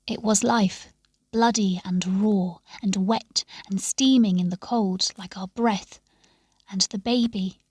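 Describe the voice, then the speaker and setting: A woman reading a story aloud in a measured, expressive way, with phrases that often sink into a hush. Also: medium pitch, breathy edge, no room sound. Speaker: story reader. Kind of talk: scripted storytelling narration.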